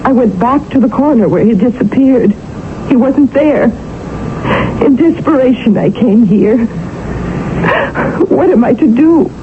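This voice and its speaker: A woman's voice: on the high side, lively intonation, measured pace, pauses often. An actress playing an anxious, genteel Victorian lady, speaking politely and earnestly in a dramatic radio play style. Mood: distressed. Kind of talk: 1970s radio drama acting. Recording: noisy, muffled old radio broadcast recording.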